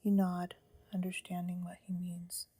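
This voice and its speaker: A woman leads a sleep meditation in a hushed, soothing whisper, right up against the mic. Her voice sits low and barely moves in pitch, breathy throughout. The recording is dry with no room sound. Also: completely flat delivery, a little slow, no echo. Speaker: meditation guide.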